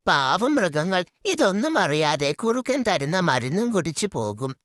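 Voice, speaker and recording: Animated woman storyteller, dry close sound. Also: lowish voice, a little slow, dry recording. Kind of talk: scripted children's story narration.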